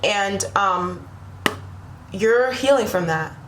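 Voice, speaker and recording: A woman's voice: mid-range voice, expressive, takes it slowly. Tarot reader, woman, losing her voice; chatty, rambling delivery to camera; echoey room, faint background hum. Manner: rambling, repeats "right?" and "you know?" to the viewer. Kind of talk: casual spiritual tarot reading to camera.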